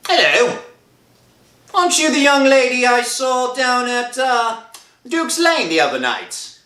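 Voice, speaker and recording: Woman acting bright, offhand police officer. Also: on the high side, sing-song, measured pace. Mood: dismissive. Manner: offhand, hesitant, wry. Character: casual, skeptical police officer on night duty. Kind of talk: old-time radio drama acting. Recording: echoey room, faint music behind.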